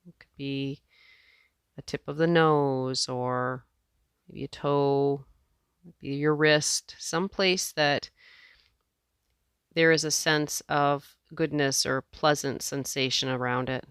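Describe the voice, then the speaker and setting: A woman teacher leading a guided meditation in a gentle, measured way, leaving space between phrases. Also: deep voice, steady tone, clear, resonant tone, no echo, clean studio sound.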